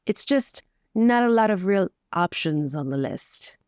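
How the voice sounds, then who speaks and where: A woman's voice: mid-range voice, lively intonation, a little slow, darkish tone, clear, resonant tone, no room sound, clean studio sound, natural voice. A woman playing a wry, resigned noblewoman in an improvised roleplay, with a sardonic delivery. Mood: resigned, disdainful.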